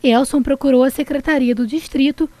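A woman reporting on the news, narrating the story in a clear, measured voice-over. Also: high voice, steady tone, mellow, dry recording.

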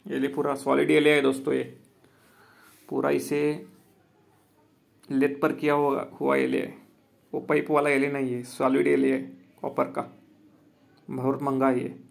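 A man's voice: medium pitch, completely flat delivery, unhurried, vocal fry, long pauses. A man, plainly walking viewers through a job on an outdoor rooftop and recording on a handheld phone. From Maharashtra, India. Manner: plain, repetitive, addresses viewers as friends. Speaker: solar installer and business owner. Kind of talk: walkthrough video commentary.

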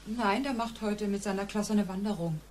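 A woman's voice: mid-range voice, normal speed, darkish tone, slight background noise, lightly acted. An actress playing an ordinary middle-aged married woman, plain and matter-of-fact, in a radio crime drama. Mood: subdued.

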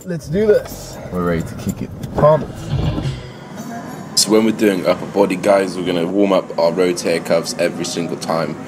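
A man's voice: sits low, lively intonation, normal speed, long pauses, noisy background. A young man giving casual workout tips in a fitness vlog, upbeat and coaching, with some fillers. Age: young adult. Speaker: fitness vlogger.

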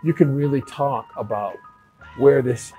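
A man's voice: mid-range voice, unhurried, dark tone, muffled recording, soft background music. A man in his thirties hosting a podcast interview, asking eager questions in casual slang inside a boxing gym. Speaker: podcast host. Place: boxing gym, seated in ring.

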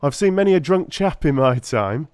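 A male reader puts on a plain-spoken police constable in a dramatic audiobook. He is touchy and blunt, talking colloquially with an aggrieved air and lively swings of pitch, recorded dry. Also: normal speed, smooth, no echo, close to an everyday voice. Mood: amused.

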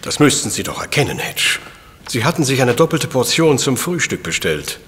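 An actor playing a brilliant, pedantic professor detective in a radio play, formal and precise. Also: male voice, mid-range voice, very animated, normal speed, fairly bright tone. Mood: coolly analytical. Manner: formal, precise, pompous wording. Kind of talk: German radio play acting. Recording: reverberant room, music behind.

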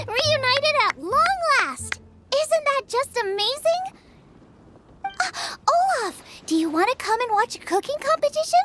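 A cheerful, bossy teenage girl chef for a video game. The voice is extremely high and very lively, full of eager exclamations. Music plays clearly underneath. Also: measured pace, full character voice.

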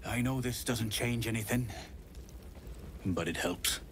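An actor playing a grieving young husband who asks for help. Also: male voice, medium pitch, unhurried, a bit bright, a little hiss or hum. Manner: grieving, pleading.

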